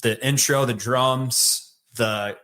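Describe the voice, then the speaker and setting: A man's voice: medium pitch, steady tone, takes it slowly, sharp tone. A middle-aged man from Michigan with a Michigan accent, a sales leader talking casually as an interview guest, rambling with many fillers and restarts.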